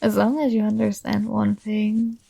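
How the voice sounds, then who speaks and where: A woman playing a possessive but tender dream princess in an ASMR roleplay, coaxing and gently commanding, close to the microphone. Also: mid-range voice, level tone, normal speed, creaky, husky, dry recording. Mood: doting, possessive. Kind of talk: ASMR roleplay, soothing intimate monologue.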